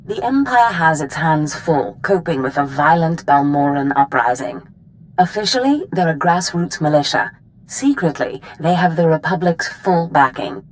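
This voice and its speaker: A woman playing a commanding, scheming Sith master in a video game cutscene, speaking with deliberate authority. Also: sits low, animated, poor audio. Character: commanding, scheming female Sith master briefing her apprentice.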